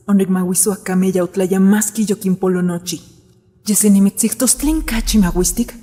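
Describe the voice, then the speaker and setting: A woman playing a grave, sorrowful survivor in a dramatic animated series fan dub. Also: medium pitch, husky. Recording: long reverb, music underneath.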